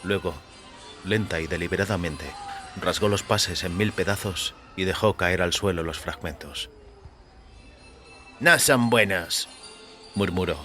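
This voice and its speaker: A male audio fiction narrator with a very low, forceful voice. He reads in a measured, literary and dramatic style, deliberate in phrasing, with music playing behind him. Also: steady tone. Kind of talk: dramatic scripted story narration.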